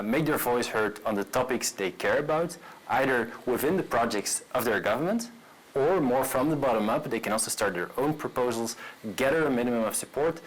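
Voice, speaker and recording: A man's voice: medium pitch, rough recording. A man in his late twenties from Brussels with a Belgian accent, speaking earnestly about himself in an interview, often repeating words as he goes. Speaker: entrepreneur, interviewee. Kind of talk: personal profile interview to camera.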